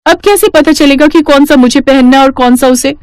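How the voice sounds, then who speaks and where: A woman's voice: high-pitched, a little fast, darkish tone, dry recording, voice pushed up, close to an everyday voice. A woman voicing a spoiled, jealous and cruel teenage stepsister in a cartoon story, whiny, haughty and petulant. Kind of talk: Hindi cartoon story character acting.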